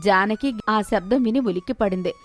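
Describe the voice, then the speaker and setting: A woman's voice: medium pitch, moderate pace, soft background music. A woman reading a scripted moral story as its narrator.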